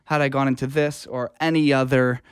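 A man's voice: mid-range voice, little pitch movement, fairly quick, clean tone, no echo, clean studio sound. A young adult man telling his story as a guest in a casual, informal conversational style. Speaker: interview guest, audio engineer.

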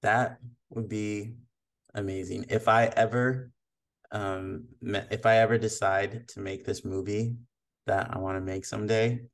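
A man's voice: on the low side, steady tone, unhurried. A man hosting a casual podcast interview, friendly and teasing, rambling with restarts. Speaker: podcast host.

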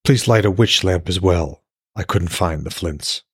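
A gentle, reassuring man of Norse fantasy, acted by a male reader, speaks low and soft. He coaxes and explains patiently in a husky voice, recorded dry for an audiobook. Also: a little slow, no room sound, full character voice. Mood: calm, coaxing.

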